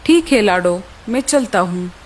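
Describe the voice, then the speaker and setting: A woman's voice: mid-range voice, lively intonation, a little slow, a little mellow, low-quality recording. A woman voicing a friendly male crow in a concerned, emphatic way for a children's cartoon story.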